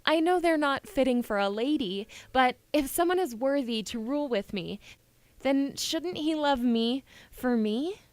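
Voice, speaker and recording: A spirited young princess voiced by a woman, fairly high in pitch. She is headstrong and earnest, pleading yet defiant, in a closely recorded, dry fantasy audio drama. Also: lively intonation, unhurried, a little mellow, no room sound. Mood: urgent pleading.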